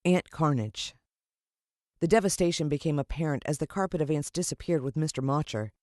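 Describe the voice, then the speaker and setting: A woman's voice: low-pitched, brisk, darkish tone, no echo, studio quality. A woman with an American accent reading a children's story expressively as an audiobook narrator.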